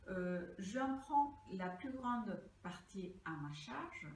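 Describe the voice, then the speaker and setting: Slow, earnest older woman from Peru. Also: medium pitch, expressive, slight background noise. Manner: slow, deliberate, earnest, reading from notes. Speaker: pastry cookbook author. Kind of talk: crowdfunding pitch. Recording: echoey room, mic at a distance.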